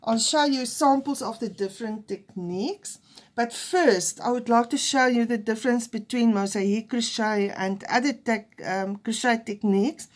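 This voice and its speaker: A woman's voice: on the high side, moderate pace. A woman from South Africa with an Afrikaans accent, her first language, giving an unedited crochet lesson in a nervous, hesitant way. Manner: hesitant and nervous, with fillers and restarts. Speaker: crochet teacher.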